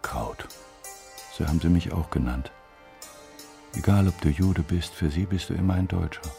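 Very gravelly, dark old émigré. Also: male voice, deep voice, normal speed. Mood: bitter, brooding. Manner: brooding, reflective. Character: bitter, brooding old German-born émigré recalling childhood fear. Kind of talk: inner monologue, radio drama. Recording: soft music bed underneath. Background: faint music.

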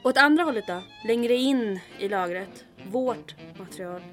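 A woman's voice: on the high side, lively intonation, soft background music, natural voice. An actress playing a commanding, dryly witty woman captain of a resistance group in old-time radio drama style. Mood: dry, resolute. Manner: brisk, commanding, dryly teasing. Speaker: lead character, captain.

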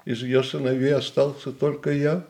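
An actor playing a gentle, grieving middle-aged son in a radio play, hesitant and tender. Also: male voice, mid-range voice, little pitch movement, normal speed, natural voice. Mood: sorrowful. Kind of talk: Russian radio play acting.

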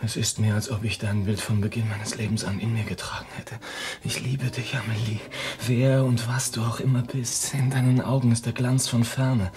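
A man's voice: medium pitch, little pitch movement, moderate pace, lightly acted. An actor playing an earnest, lovestruck man in a romantic radio drama, speaking solemnly and intimately. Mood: tender, devoted. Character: earnest, lovestruck man reunited with his lover.

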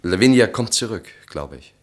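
An actor in a German radio drama playing a harried, evasive husband, hesitant and flustered. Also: male voice, fairly low pitch, lively intonation, normal speed, close to an everyday voice. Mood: troubled, earnest. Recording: roomy studio radio play sound.

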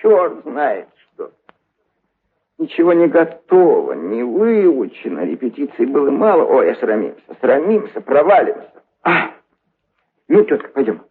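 A man's voice: mid-range voice, huge pitch swings, a little slow, breathy edge, phone-quality sound, exaggerated voice. A man playing a nervous circus clown in a children's audio play, fretting and fondly coaxing his trained animals. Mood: anxious. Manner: fretful muttering, fond coaxing of his animals.

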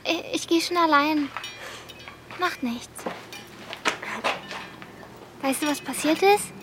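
A woman's voice: high-pitched, slow pace, noisy background. A young woman playing a stubborn, terse thirteen-year-old girl from a war-torn village in a naturalistic radio drama. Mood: determined, secretive.

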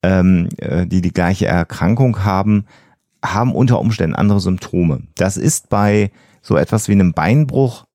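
Podcast co-host: man, deep and mellow, conversational explainer. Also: moderate pace, no room sound, clean studio sound.

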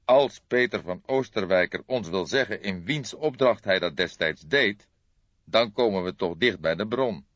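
A man playing a calm, weary old police detective with measured speech in audiobook dialogue. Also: on the low side. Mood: calm, determined. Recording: dull lo-fi, boxy roomy sound.

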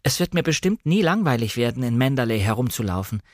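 A man's voice: mid-range voice, very animated, quick pace, no echo, lightly acted. Guy reading as a timid polite young woman, eager to please, audiobook, really dry sound.

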